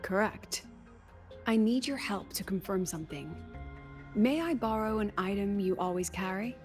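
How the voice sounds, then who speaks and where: A cool, eccentric female academy president from a video game, acted by a woman, with a mid-pitched voice. She is composed, deliberate and aloof, speaking in clipped statements over faint music. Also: a little mellow, natural voice. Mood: composed, explanatory. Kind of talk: scripted video game voice acting. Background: game music under the voice.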